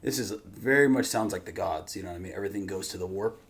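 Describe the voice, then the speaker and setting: A man hosting a reaction show with casual, conversational commentary, often trailing off quieter. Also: sits low, quick pace.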